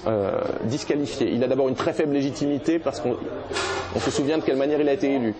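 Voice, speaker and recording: A man's voice: mid-range voice, quick pace, background noise. A man giving political commentary in a documentary interview, hesitant with many fillers and restarts.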